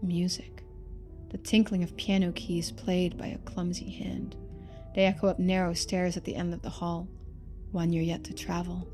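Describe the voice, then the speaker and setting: A woman's voice: fairly low pitch, flat delivery, moderate pace, warm tone. Woman narrating a game, faint music behind. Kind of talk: expressive game narration with casual commentary.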